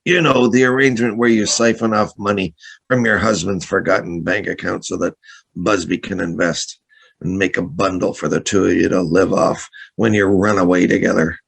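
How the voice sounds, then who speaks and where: Man playing a gravelly, scheming boat captain. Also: sits low, fairly even intonation, normal speed, fairly bright tone, heavily acted, low-quality recording. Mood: smooth, probing. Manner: insinuating, mock-polite. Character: sly, scheming male boat captain, blackmailer. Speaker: radio play actor. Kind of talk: radio drama acting with confiding narration.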